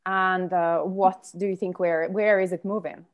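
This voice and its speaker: A woman's voice: lowish voice, steady tone, measured pace. A woman moderating an online panel, asking questions in a friendly way with light fillers.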